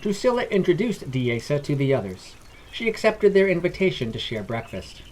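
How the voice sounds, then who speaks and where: A man's voice: fairly high pitch, sing-song, moderate pace, a little mellow, slight background noise, rough recording. A man reading scripted fantasy narration as a storyteller.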